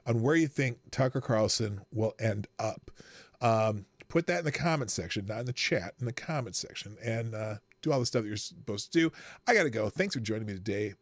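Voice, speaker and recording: A man's voice: medium pitch, no echo. A man hosting his own live stream, chatting casually and signing off to viewers. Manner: chatty, animated sign-off with asides.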